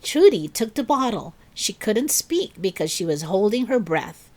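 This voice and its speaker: A woman reading a children's story aloud in an expressive, dramatic and deliberate narrating style. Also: mid-range voice. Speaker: storybook reader.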